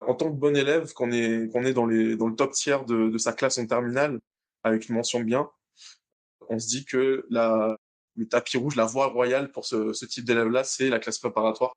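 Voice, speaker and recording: A man in his late twenties with a French accent, a podcast guest telling his own story in a relaxed way, often restarting his sentences. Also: medium pitch, moderate pace. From France, born in Madagascar. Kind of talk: interview.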